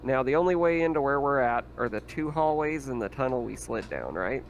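A man with a medium-pitched voice talks casually as a player in a roleplaying game. There is faint noise behind him on an online call. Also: lively intonation, a little fast.

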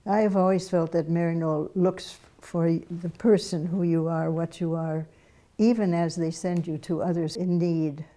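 A woman in her eighties speaks in a gentle, reflective way. Her voice is low, mellow and flat in pitch. A faint guitar plays underneath. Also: normal speed. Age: 80s. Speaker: retired nun. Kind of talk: documentary interview. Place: indoor room with bookshelves.

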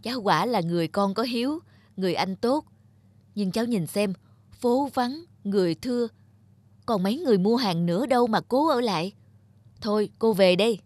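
A woman's voice: medium pitch, normal speed, darkish tone, no room sound, lightly acted. A woman playing a kindly, resigned market vendor in a cartoon, giving caring advice. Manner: caring, advising.

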